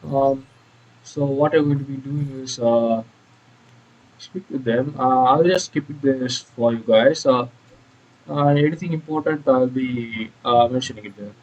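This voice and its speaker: A man's voice: medium pitch, a little mellow, highs cut off. A man giving casual, hesitant commentary on a video game walkthrough. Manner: halting, hesitant delivery. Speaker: gameplay YouTuber.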